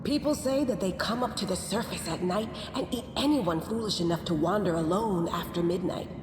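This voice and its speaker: A woman's voice: fairly low pitch, a little fast, noisy background, natural voice. An actress playing an earnest, plain-spoken woman adventurer in a fantasy video game. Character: earnest female adventurer pleading for help. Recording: echoey hall, music behind.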